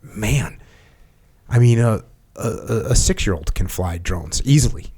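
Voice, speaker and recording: A man's voice: fairly low pitch, lively intonation, unhurried, warm tone, breathy edge. A middle-aged man hosting a podcast, asking blunt, probing questions and telling a story plainly. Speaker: podcast host. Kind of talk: casual conversational interview.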